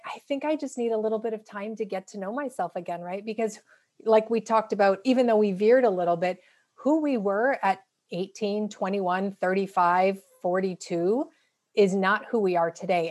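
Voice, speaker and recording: A woman's voice: mid-range voice, a little mellow. A woman in her late forties, speaking as a guest author in a casual, reflective and candid conversational way. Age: late 40s.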